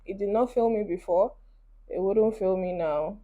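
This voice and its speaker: A woman's voice: mid-range voice, normal speed, phone-quality sound. Chatty young Nigerian woman vlogger. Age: 20s. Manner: chatty, encouraging, talks while doing makeup. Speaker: beauty vlogger. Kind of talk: casual get-ready-with-me chat to camera. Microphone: phone camera.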